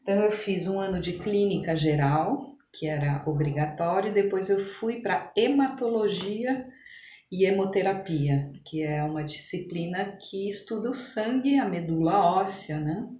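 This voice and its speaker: A woman's voice: mid-range voice, animated, moderate pace, mellow. A middle-aged Brazilian woman chatting casually as an interview guest, reminiscing in a conversational way. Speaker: podcast guest, physician. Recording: roomy echo, clear background noise.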